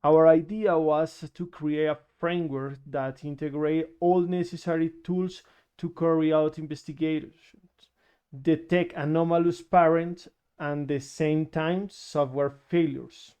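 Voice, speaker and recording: Colombian male researcher, slow flat conference delivery, very clean voice, halting. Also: a little high, smooth. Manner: halting, many fillers and restarts. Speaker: security researcher. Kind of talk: conference presentation.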